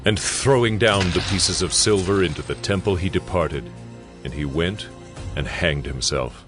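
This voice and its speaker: A man's voice: low voice, unhurried, over music. A man delivering scripted scripture narration.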